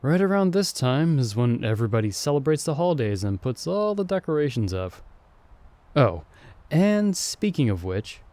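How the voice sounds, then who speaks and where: A man's voice: medium pitch, very animated, moderate pace, warm tone, natural voice. A man playing a teasing young summoned friend. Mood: cheerful. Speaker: voice actor. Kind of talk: ASMR audio roleplay.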